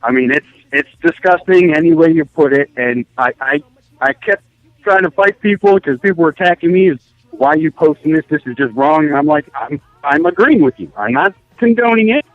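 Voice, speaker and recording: A man's voice: fairly high pitch, expressive, fairly quick, dry recording. An American man calling in to a sports talk show, chatting casually over a lo-fi line. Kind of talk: casual phone-in chat. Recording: dull lo-fi radio call-in line.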